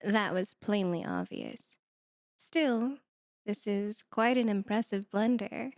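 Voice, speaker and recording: A woman's voice: medium pitch, takes it slowly, no echo, studio quality. A woman voicing a sardonic, teasing female demon with a condescending, flirty manner in an ASMR roleplay.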